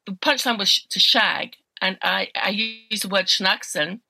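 A chatty woman comedian and promoter trading gig stories, laughing between lines. Her voice is bright and forward. The sound is dry and close. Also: mid-range voice, unhurried, creaky, no echo. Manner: chatty, laughs often, self-deprecating anecdotes. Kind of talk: casual chat.

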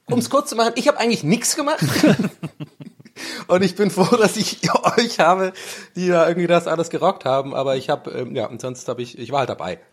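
A man's voice: fairly high pitch, expressive, brisk. A man co-hosting a casual chat in a rambling, joking, self-deprecating way. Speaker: co-host.

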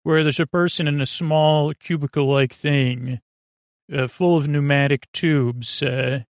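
Creaky guy doing a sleep podcast, kinda high voice, rambles on with ums and uhs, silly tangents everywhere. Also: steady tone, unhurried, no room sound, studio quality. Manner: meandering, full of filler words and tangents; goofy, absurd, self-deprecating. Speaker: podcast host. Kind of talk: rambling bedtime monologue to help listeners sleep.

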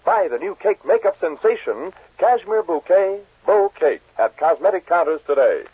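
Husky, animated American radio pitchman. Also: normal speed, no echo. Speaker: commercial announcer. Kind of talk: 1940s radio advertising read. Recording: tinny, dry 1940s radio recording.

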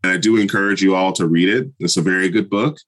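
Very deep-voiced podcast host, man. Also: quick pace, a bit bright. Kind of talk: casual podcast chat.

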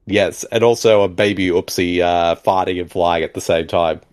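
A man's voice: low voice, steady tone, normal speed, darkish tone. A man hosting a casual movie commentary podcast, laughing often and saying "exactly" and "indeed" again and again. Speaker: podcast host.

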